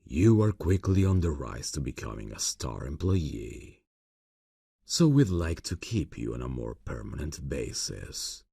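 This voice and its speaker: A man voicing a suave, sinister vampire boss in an ASMR fantasy roleplay, speaking slowly and formally in a gravelly, husky voice with silky, courteous menace. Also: extremely low pitch, sing-song, warm tone, dry recording, clean studio sound, exaggerated voice. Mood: smooth, reassuring. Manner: slow, silky, formal, condescending endearments.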